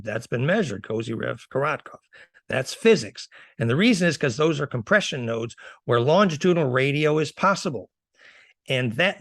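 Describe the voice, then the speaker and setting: Guy on a podcast, gravelly fry in his voice, rambly lecture style, dry sound. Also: on the high side, dry recording. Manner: chuckles between phrases, emphatic, lecturing. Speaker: guest researcher. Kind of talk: rambling speculative explanation in a podcast conversation.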